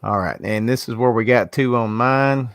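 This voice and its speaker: A man's voice: medium pitch, normal speed, dry recording. Southern male host, casual rambling delivery, dry laptop-call audio. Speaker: host of an art livestream. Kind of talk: casual chat. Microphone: video call from a laptop.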